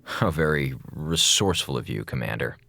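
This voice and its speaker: A man's voice: very deep voice, animated, sharp tone, no echo, exaggerated voice. An actor playing a wisecracking, defiant male comms officer who talks in a sarcastic, theatrical way. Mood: weary. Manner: sarcastic, theatrical, quick with jokes. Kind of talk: audio drama acting.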